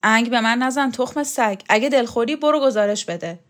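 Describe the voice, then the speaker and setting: A woman's voice: fairly high pitch, pitched above the performer's own voice, natural voice. A woman voicing a blunt, coarse middle-aged strongman who scolds and swears. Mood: angry. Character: tough, blunt middle-aged male strongman, scornful and gruff.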